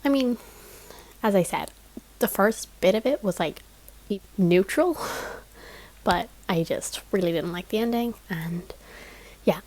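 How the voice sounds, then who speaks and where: A young woman vlogging casually about her week of reading, rambling with plenty of fillers and small laughs. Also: mid-range voice. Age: young adult. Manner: chatty, rambling, lots of "like" fillers, soft laughs. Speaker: book vlogger. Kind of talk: casual reading vlog chat.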